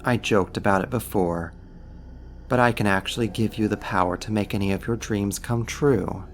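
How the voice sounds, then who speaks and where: A man's voice: lowish voice, steady tone, a little hiss or hum, close to an everyday voice. A man playing a smug, possessive archdemon in an ASMR roleplay, teasing and coaxing a human in an intimate, amused manner. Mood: smooth persuasion. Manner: teasing, smug, deliberate delivery. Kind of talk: ASMR roleplay acting, intimate second-person monologue.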